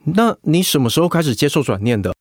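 An actor playing a blunt, sociable middle-aged ex-marine patient in a Mandarin radio drama. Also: male voice, fairly high pitch, sing-song, fast talker, no room sound, clean studio sound. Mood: curious.

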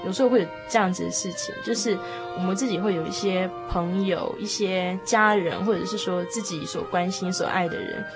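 A woman sharing reflections in a casual panel discussion, speaking in an earnest, expressive way. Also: fairly low pitch, fairly quick. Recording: music clearly behind the voice.

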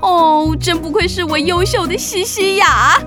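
A woman's voice: extremely high pitch, measured pace, loud music behind the voice. A woman voicing a carefree, doting witch aunt with a playful, exaggerated way of talking, in a children's radio play. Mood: amused, doting. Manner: exaggerated, teasing.

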